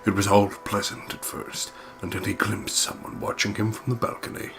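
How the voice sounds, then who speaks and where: A man's voice: on the low side, level tone, moderate pace, a bit bright, a little hiss or hum. A young man hosting a let's play, reading a visual novel aloud with playful, sweary commentary.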